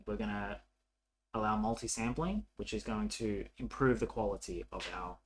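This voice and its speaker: A man narrating a coding tutorial casually, thinking aloud in an informal, halting way as he works. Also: on the low side, fairly bright tone, a little hiss or hum. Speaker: programming tutorial presenter.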